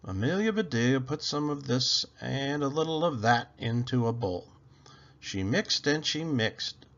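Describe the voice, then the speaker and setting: A man reading a children's picture book aloud as an expressive narrator. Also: medium pitch, unhurried, muffled recording.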